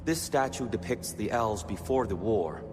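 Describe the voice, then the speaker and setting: An actor playing a wise, scholarly male guide in a video game, speaking in a measured, formal, explanatory way. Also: lowish voice, expressive, natural voice. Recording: game music behind the voice.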